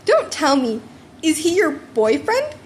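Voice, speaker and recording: A woman's voice: sits high, wildly swooping pitch, unhurried, pitched above the performer's own voice, full character voice. A woman voicing a playful, unfazed teenage girl who teases mischievously in a story reading. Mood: teasing. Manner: mischievous, teasing. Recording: noticeable background noise.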